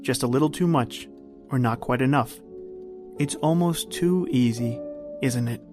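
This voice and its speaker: A man performs an ominous old-time radio suspense narrator. He is knowing and sardonic, addressing the listener as the guilty one, in a medium-pitched voice over music. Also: a little slow, natural voice. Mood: ominous, taunting.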